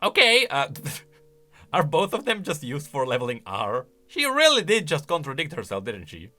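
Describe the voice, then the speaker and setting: A man's voice: fairly high pitch, wildly swooping pitch, moderate pace, pushed and bright, soft background music. A man giving casual, enthusiastic reaction commentary, explaining game lore as he goes.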